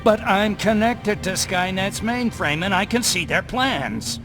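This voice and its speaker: An actor playing a brainy, earnest resistance tech expert in a video game, explaining technical things excitably. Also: male voice, high-pitched, measured pace, music in the background. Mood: disbelieving, alarmed.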